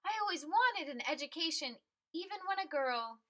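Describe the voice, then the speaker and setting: A bold woman crusader from a picture book, as a woman reader voices her. She speaks in a high, bright voice with firm, declarative conviction, as if addressing a rally. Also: expressive, moderate pace. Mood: determined. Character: bold, determined woman crusader for equal rights.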